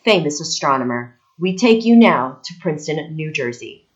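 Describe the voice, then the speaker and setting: Woman playing a low-voiced radio announcer, muffled sound. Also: very animated, normal speed. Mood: formal. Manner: formal, presentational. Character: genteel female radio announcer introducing dance orchestra. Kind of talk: 1930s radio drama program announcing. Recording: dull, lo-fi sound.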